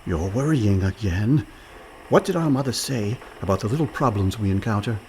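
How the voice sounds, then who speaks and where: An actor playing a breezy, overconfident schemer in a comic radio play, glib and mock-genteel. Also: male voice, lowish voice, lively intonation, normal speed, a little mellow, slight background noise, close to an everyday voice. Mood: confident bluffing. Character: smooth-talking, scheming con man, male, breezy and overconfident.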